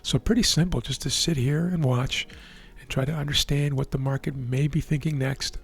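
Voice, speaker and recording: A man with a hoarse, worn voice calmly explaining the markets as a trading educator, often checking in with the listener. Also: mid-range voice, moderate pace, soft background music. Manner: relaxed, explanatory, checks in with "right?". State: hoarse, losing his voice. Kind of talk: live market commentary over a chart screen.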